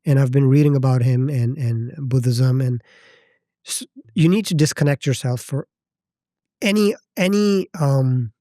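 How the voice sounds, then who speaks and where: A Pakistani-accented man in his thirties speaks as a podcast guest, his voice mellow and close in a dead, dry space. He talks in a reflective, halting way, often stopping to rephrase. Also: mid-range voice, no room sound. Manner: thoughtful, halting, circles back to rephrase. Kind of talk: reflective personal storytelling.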